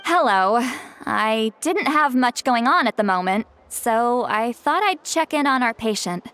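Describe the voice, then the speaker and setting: A woman playing a calm, kindly elder in a video game, speaking in a measured, composed, formal way. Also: fairly high pitch, smooth.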